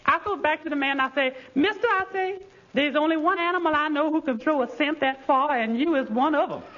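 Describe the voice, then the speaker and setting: A man's voice: very high voice, brisk, bright, forceful tone. A man voicing a cheerful, sassy, easygoing Southern housemaid in 1940s radio comedy, with a folksy drawl and Southern American accent. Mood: amused, folksy. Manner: folksy, drawling, playful punchlines. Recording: noisy, muffled vintage radio recording.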